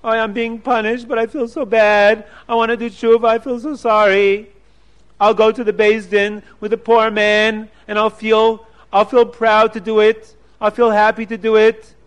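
Shrill desperate rich man, sobbing and begging; a man does the voice. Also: little pitch movement, normal speed, clear, resonant tone, close to an everyday voice. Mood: sobbing remorse. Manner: wailing, pleading, sobbing. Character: rich proud man, now a desperate wailing prisoner.

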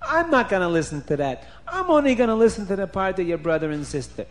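A man voicing a wicked, self-pitying storybook king who wails and complains in drawn-out cries. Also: high-pitched, very animated, pitched above the performer's own voice. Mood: stubborn refusal. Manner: wailing, drawn-out cries of woe.

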